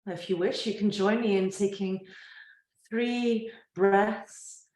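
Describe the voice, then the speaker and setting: An echoey room carries the voice of a woman leading a guided relaxation. She speaks at a middle pitch in a gentle, soothing manner. Her phrases are unhurried and set apart by long pauses. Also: fairly even intonation. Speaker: yoga nidra teacher.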